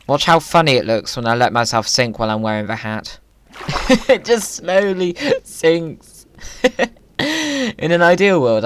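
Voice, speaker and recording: A man's voice: mid-range voice, fairly bright tone, clear, resonant tone, no room sound. A man giving playful gaming commentary in a whimsical, silly manner. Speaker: video creator, gamer.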